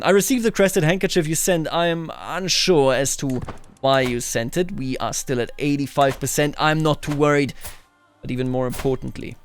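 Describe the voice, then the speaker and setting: A man's voice: on the high side, a little hiss or hum. A man hosting a game playthrough, musing aloud and reading in-game text with playful theatrical flair. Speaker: host of a let's play series.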